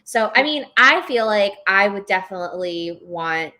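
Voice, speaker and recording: Bright, forceful-voiced woman novelist. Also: mid-range voice, animated, normal speed. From born in Ecuador, moved to New York at six or seven. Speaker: novelist, guest at a book club. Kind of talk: casual live discussion about writing.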